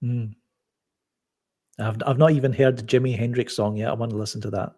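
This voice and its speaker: Guest: soft-spoken man, mellow husky tone, halting casual storytelling. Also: medium pitch, steady tone. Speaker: podcast guest. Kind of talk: casual chat.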